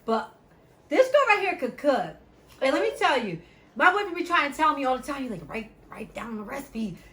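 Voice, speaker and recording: A woman's voice: sits high, big pitch swings, brisk, fairly bright tone. A woman in her thirties hosting a casual chat with her family, talking straight to her viewers. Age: 30s. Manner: chatty, talks to viewers as y'all. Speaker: vlog host. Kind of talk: casual chat while eating.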